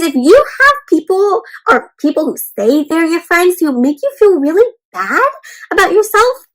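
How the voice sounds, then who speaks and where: A woman's voice: high-pitched, a bit bright, poor audio. A woman reading a storybook aloud to young children, encouraging and reassuring, often stopping to explain simply and check in with them. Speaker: children's read-aloud host.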